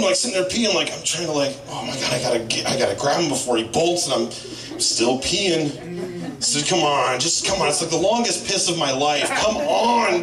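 A man in his thirties doing a profane, rambling stand-up set in a small bar, on a handheld mic filmed from a distance. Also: fairly high pitch. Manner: profane, rambling storytelling with lively impressions. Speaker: stand-up comedian. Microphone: handheld mic, filmed by a far camera. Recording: echoey bar room, camera far off.

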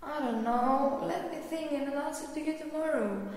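Woman playing an echoey, high-voiced studious girl. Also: normal speed, a little mellow. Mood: thoughtful. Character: inquisitive, studious girl, a sister in an educational cartoon. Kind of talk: scripted dialogue in an educational animation. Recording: very echoey room, long reverb.

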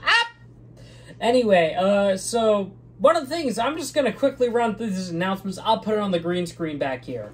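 A loud, excitable young man co-hosting a casual channel update in a small green-screen room, laughing often. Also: sits high, moderate pace, a little hiss or hum. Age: young adult. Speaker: channel co-host. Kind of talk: casual chat.

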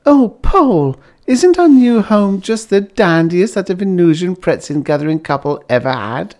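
Audiobook wife played by a man: high, wildly lilting, wide-eyed, gushing and adoring. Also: very animated, measured pace, a little mellow, heavily acted. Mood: gushing delight. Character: chirpy, adoring young pioneer wife in a story.

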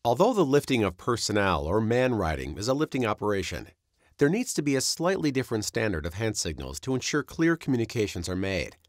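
Low-pitched man narrating training material. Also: big pitch swings, a little fast, no room sound, clean studio sound. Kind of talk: scripted instructional narration.

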